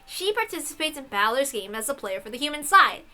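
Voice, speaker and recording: A woman's voice: high-pitched, very animated, fairly quick, sharp tone, faint music behind. A woman hosting a game playthrough, reading text aloud and adding playful, casual asides. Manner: playful, giggly, swearing asides.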